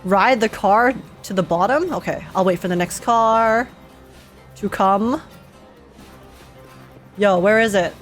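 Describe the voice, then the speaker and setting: A young woman giving casual, slangy and expressive game reaction commentary as a streamer. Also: mid-range voice, takes it slowly, pauses often, soft background music.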